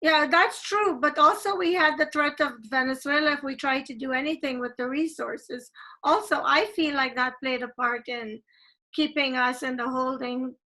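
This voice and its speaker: A woman from Guyana hosts a video-call interview in a chatty, eager way. Her voice is high and bright, with a clear, lively edge, and her statements often rise like questions. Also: normal speed, rough recording. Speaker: interview host.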